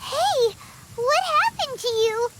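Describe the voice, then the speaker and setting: A woman voicing a tiny, childlike, excitable female fairy companion in a cartoonish video game style, squeaky, fussy and blunt. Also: takes it slowly, a little hiss or hum, full character voice. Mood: alarmed, excited. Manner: excitable, refers to herself in third person.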